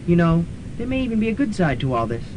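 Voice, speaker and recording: A man's voice: a little high, sing-song, fast talker, muffled recording, background noise. A man playing a flippant young character in a student radio drama.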